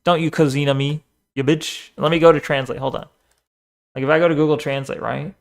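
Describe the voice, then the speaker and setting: Brief: animated male streamer, a bit high-pitched, bantering with chat. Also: brisk, creaky. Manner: animated, swears, talks back to the screen. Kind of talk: casual live-stream chat and video reaction.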